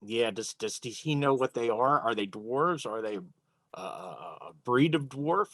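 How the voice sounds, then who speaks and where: Male tabletop player, dry mid-pitched voice. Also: lively intonation, a little slow, a bit bright, no room sound. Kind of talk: casual game-table chat.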